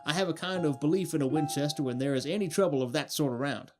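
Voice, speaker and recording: Man playing plucky adventurer, higher-pitched voice. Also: fast talker, music in the background, lightly acted. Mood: keen, confident. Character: plucky, level-headed male adventurer fond of rifles. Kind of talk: radio play acting.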